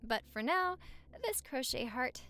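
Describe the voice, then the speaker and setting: A woman acts out a young newlywed wife in a romantic audio roleplay. Her voice is high and swings widely in pitch as she banters. She comes across as affectionate, playful and teasing, chatting as if to her partner at home. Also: very animated, unhurried, pushed and bright, heavily acted. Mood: affectionate, nostalgic. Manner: bantering, teasing, sweet-talking.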